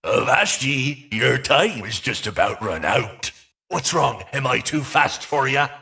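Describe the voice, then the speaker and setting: A man voicing a mischievous, menacing animatronic pirate fox in a video game, with a stage pirate accent, boisterous and taunting in a gravelly growl. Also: medium pitch, expressive, takes it slowly, pushed and bright, heavily acted. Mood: gleeful menace. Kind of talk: video game character voice lines.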